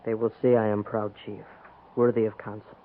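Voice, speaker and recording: An actor playing a proud, stern chief in a 1950s radio western, speaking in terse, formal, stilted phrases. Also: male voice, on the low side, level tone, moderate pace, natural voice. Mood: solemn, proud. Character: proud, solemn Native chief, stern but dignified. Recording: muffled, noisy 1950s radio recording.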